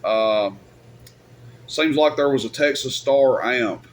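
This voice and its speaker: A man's voice: medium pitch, slow. Plain-spoken man, noticeable background noise. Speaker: YouTube host and radio equipment seller. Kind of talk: casual off-the-cuff advice talk.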